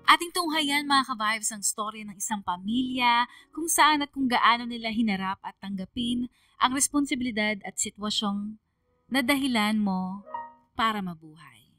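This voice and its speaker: Bright-voiced woman narrating a radio drama. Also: medium pitch, dry recording. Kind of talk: scripted dramatic storytelling. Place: dry studio. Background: soft music.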